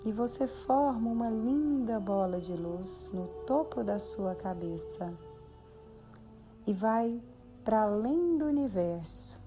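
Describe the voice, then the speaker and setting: A Brazilian woman leading a guided meditation in a soothing, measured way with long pauses. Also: on the high side, expressive. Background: background music.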